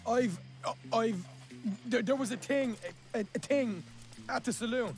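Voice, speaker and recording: High-pitched, gravelly Irish charmer. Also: male voice, moderate pace, a little hiss or hum. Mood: nervous, friendly. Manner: stammering, glib, ingratiating patter. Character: chatty, bluffing young Irish outlaw posing as a delivery driver. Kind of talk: video game cutscene acting.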